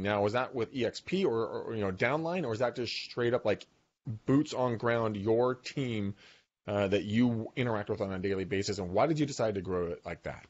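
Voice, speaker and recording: A talkative man in his forties hosts a podcast from the dining room of his home. His voice sits low, and it comes through a boom mic with a slightly dull, lo-fi sound. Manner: talkative, animated storyteller. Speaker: podcast host. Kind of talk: casual video-call interview. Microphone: boom mic on arm.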